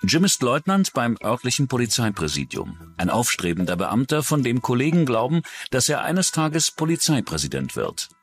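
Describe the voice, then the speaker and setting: A man's voice: medium pitch, wildly swooping pitch, normal speed, faint music behind. A man delivering scripted true-crime documentary narration as the narrator.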